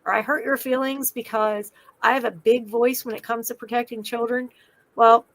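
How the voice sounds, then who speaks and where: A woman's voice: a little high, fairly even intonation, normal speed, fairly bright tone, rough recording. A middle-aged woman talking on a podcast as a child welfare advocate, passionate and emphatic, who drives her points home by repeating them. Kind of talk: podcast conversation.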